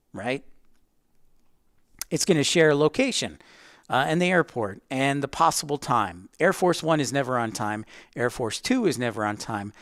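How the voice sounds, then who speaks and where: Clear-voiced man hosting a casual livestream tutorial. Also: medium pitch, no echo, clean studio sound. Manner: chatty, informal, addresses viewers directly. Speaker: livestream host teaching plane-spotting tools.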